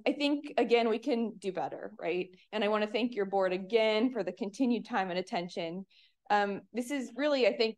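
A woman in her thirties from Pennsylvania, giving an earnest, measured public comment at a municipal commission meeting on a podium microphone. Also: medium pitch. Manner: earnest, measured, reads clearly.

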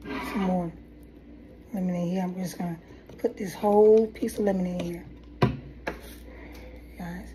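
A woman's voice: on the low side, steady tone, unhurried, long pauses, noisy background. A middle-aged woman with a head cold chatting through a casual hair tutorial at her kitchen table, rambling and restarting as she goes, recorded on a phone. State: has a head cold, coughing. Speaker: hair vlogger. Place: kitchen table at home, indoors. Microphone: phone camera at table.

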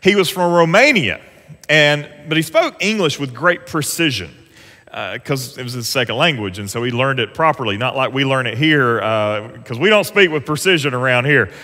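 A pastor, preaching an earnest, conversational sermon from a church stage. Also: male voice, mid-range voice, lively intonation, moderate pace, pushed and bright. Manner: earnest, conversational preaching with rising emphasis on scripture. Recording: long church hall reverb.

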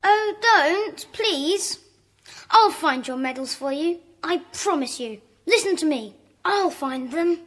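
An earnest English schoolboy, speaks politely in a high voice. He sounds kind and sincere, as in a children's audio play. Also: lively intonation, slow pace, full character voice. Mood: earnest, comforting.

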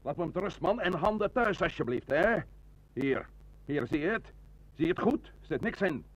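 An actor playing a huffy, brusque hotel owner protesting indignantly in a Dutch radio play. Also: male voice, on the high side, big pitch swings, moderate pace, slightly rough, no echo, low-quality recording.

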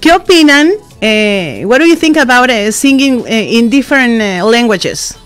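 High-voiced bilingual woman interviewer. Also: animated, a little slow, fairly bright tone. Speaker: radio show host interviewing guests. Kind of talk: casual bilingual interview, switching between Spanish and English. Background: music playing behind.

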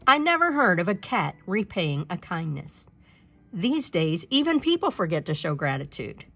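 A woman's voice: on the low side, big pitch swings, normal speed, a bit bright, slightly rough, exaggerated voice. Woman reading as an emphatic, marvelling old merchant. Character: rich old male merchant, amazed and generous.

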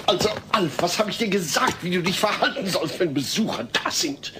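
A man's voice: sits high, expressive, brisk. An actor playing an exasperated, earnest suburban father-to-be in a sitcom audio play, a little fussy. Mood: firm. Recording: noisy old cassette audio.

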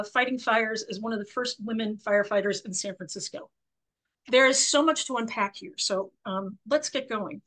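A woman in her sixties with a croaky voice, hosting a book club interview over a video call from her home office, chatty and full of fillers. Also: a little high, poor audio. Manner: warm, chatty, many fillers and restarts.

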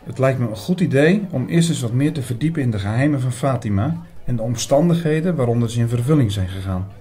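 Husky, bright-voiced earnest priest played by a man. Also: medium pitch, expressive. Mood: thoughtful. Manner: measured, bookish, formal. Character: earnest male priest investigating a mystery. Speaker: narrator and lead actor. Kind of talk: audio drama narration.

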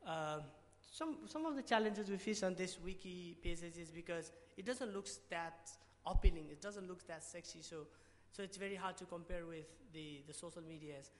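A young man with a Nepali accent speaks in a fairly high voice from a podium at a conference. He stumbles and restarts often, and the long reverb of a big hall trails behind his words. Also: normal speed, few pauses. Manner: hesitant, many restarts and fillers. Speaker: award presenter. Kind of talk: conference pitch talk. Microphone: podium mic.

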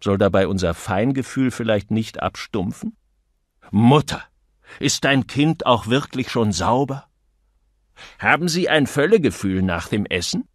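Man acting cynical male copywriter, audiobook, sardonic, rhetorical, lively pitch, dry recording. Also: unhurried, dry recording.

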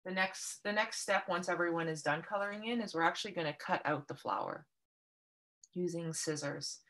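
Low-voiced woman teaching a craft workshop. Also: moderate pace, fairly bright tone. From Canada. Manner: friendly, patient step-by-step explaining. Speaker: art workshop instructor. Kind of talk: online craft workshop instruction.

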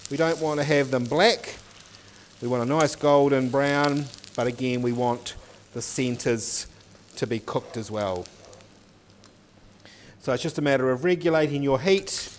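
A man's voice: medium pitch, measured pace, pauses often, a little hiss or hum. A man, a cooking teacher explaining each step as he cooks in a teaching kitchen. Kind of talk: cooking demonstration. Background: oil sizzling in a pan.

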